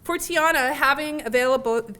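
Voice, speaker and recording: A woman's voice: high-pitched, normal speed. A woman researcher giving an academic talk in a lecture room, reading figures off her slides with frequent fillers and self-corrections. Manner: says she has a loud voice. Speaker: researcher presenting a study.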